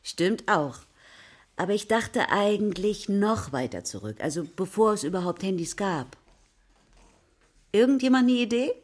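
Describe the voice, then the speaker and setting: A woman's voice: mid-range voice, lively intonation, a little slow. A woman playing a patient, encouraging primary school teacher in a children's radio drama, prompting her pupils with questions. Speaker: actor in a children's radio play. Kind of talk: natural radio drama dialogue.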